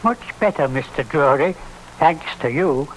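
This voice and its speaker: A man acting a blind, deferential old villager with halting speech in a radio drama. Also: a little high, animated. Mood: weary, earnest. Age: older. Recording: faintly noisy old radio recording.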